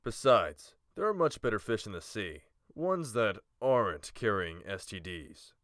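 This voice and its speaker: A man's voice: lowish voice, sing-song, measured pace, fairly bright tone, no echo, clean studio sound. An actor playing a composed, courteous male village leader with a wry streak in a fantasy audiobook. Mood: wry teasing. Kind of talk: audiobook character acting.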